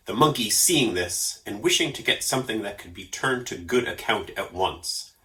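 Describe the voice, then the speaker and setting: A man reading a story aloud as an expressive narrator. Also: on the low side, normal speed. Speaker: storyteller for a library story program.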